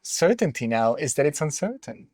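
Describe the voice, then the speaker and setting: A middle-aged man from Colombia with a Colombian Spanish accent, a school director reflecting on his life in an unhurried conversational interview with many fillers. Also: a little high, sing-song, a little mellow, no echo.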